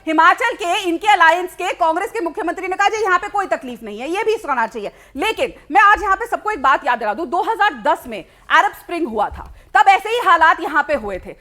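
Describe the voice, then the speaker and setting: A woman's voice: very high-pitched, expressive, a little fast, hardly any pauses, bright, forceful tone. A woman speaking as a party spokesperson in a TV debate, assertive and arguing point by point.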